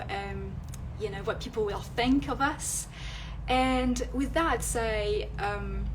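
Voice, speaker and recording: A woman with a French accent, French her first language, giving tips on a live video and pausing often with fillers and restarts. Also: fairly high pitch, slight background noise, rough recording. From France. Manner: hesitant, many fillers and restarts. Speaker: illustrator and vlogger. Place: indoors at home, near a street.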